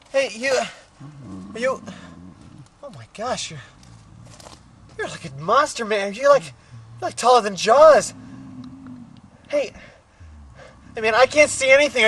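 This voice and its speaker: A man's voice: very high-pitched, lively intonation, slow pace, long pauses, fairly bright tone, husky, voice pushed up, exaggerated voice. A man playing a panicked motorist in an audio drama, exclaiming in a frantic, naturalistic way. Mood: alarmed, frantic. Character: panicked motorist stumbling on a monster. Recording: noisy outdoor location recording, off mic.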